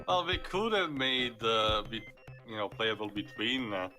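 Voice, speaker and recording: A man's voice: medium pitch, lively intonation, a little slow, sharp tone, soft background music. A man joking and teasing as a co-commentator during a casual let's play. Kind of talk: casual gameplay banter.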